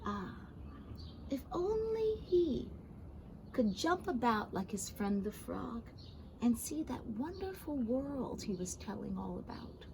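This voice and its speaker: A woman with a high voice reads a children's storybook aloud. Her delivery is expressive and very unhurried, with gentle commentary for young listeners. Faint noise runs behind her voice. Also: vocal fry. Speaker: storybook reader.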